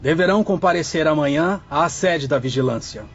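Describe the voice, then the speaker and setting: Man as a higher-voiced formal spirit messenger. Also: lively intonation, a little fast, lo-fi sound, soft background music. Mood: brisk, formal. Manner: formal, announcing. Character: formal male spirit messenger bringing summons. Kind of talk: audiobook drama acting.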